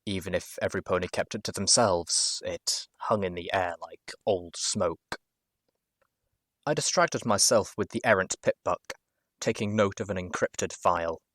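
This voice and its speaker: A man reading a first-person story as narrator, expressively and with dramatic pauses. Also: low-pitched, dry recording. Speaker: narrator, show host.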